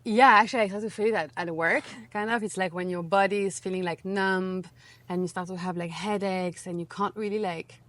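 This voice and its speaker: A woman in her thirties with a French accent, a guest in a relaxed interview, talking casually and full of fillers. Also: medium pitch, a little fast, no echo. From France. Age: 30s. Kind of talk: casual conversation.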